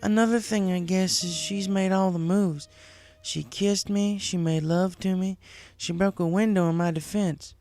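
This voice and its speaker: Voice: medium pitch, fairly even intonation, unhurried, a little mellow, faint music behind, pitched above the performer's own voice, natural voice. A performer voicing an earnest, troubled young female farm pony in a story reading. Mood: troubled, tearful.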